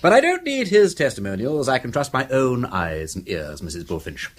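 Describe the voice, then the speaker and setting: An actor playing a flippant, lovestruck aristocratic English gentleman detective in a British radio drama, chattering whimsically in old-fashioned slang. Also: mid-range voice, wildly swooping pitch, moderate pace. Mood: gallant flattery. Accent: upper-class English.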